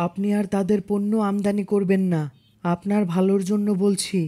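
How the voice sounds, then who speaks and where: A woman's voice: medium pitch, little pitch movement, moderate pace, warm tone, close to an everyday voice. A woman voicing an earnest, devout young boy in a cartoon, exhorting others in a preachy way. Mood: admonishing. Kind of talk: cartoon dialogue.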